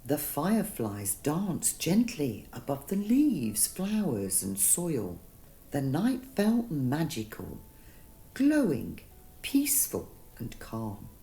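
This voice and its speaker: A woman narrating a gentle bedtime story for children, soothing and deliberate, with dramatic emphasis on single words. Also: low-pitched, wildly swooping pitch.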